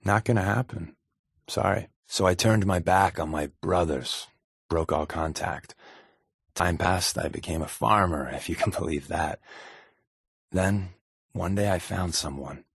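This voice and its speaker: A wry, secretly wounded undercover agent from a video game. His voice is a lowish man's, husky and recorded close and dry. He talks conversationally, with deadpan sarcasm. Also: unhurried, dry recording, exaggerated voice. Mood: somber, confessional. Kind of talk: video game companion dialogue.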